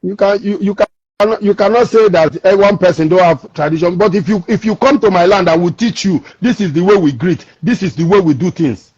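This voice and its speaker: A Nigerian man from Lagos calls in and explains emphatically, circling back over his words. His voice sits high and husky. The line is dull and lo-fi, and the sound is dry. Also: fairly quick, a little mellow, no echo. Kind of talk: call-in discussion.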